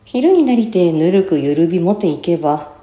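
A woman reciting a classical literary essay in a measured manner, with careful, clear enunciation. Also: on the low side, lively intonation, dark tone. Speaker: reciter and voice actor. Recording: roomy reverb with faint music behind. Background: faint music.

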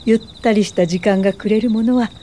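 An actress playing a kindly, dignified elderly woman professor in a radio drama, speaking in a gentle, measured, instructive way. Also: mid-range voice, a little hiss or hum, lightly acted. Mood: gentle, reflective. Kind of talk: Japanese radio drama acting and narration.

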